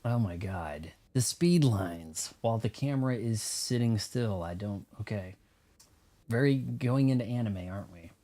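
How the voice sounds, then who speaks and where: A man's voice: lowish voice, animated, unhurried, dark tone. A man giving casual, rambling let's play commentary on a video game, talking to himself as he goes. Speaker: streamer playing a video game. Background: game music.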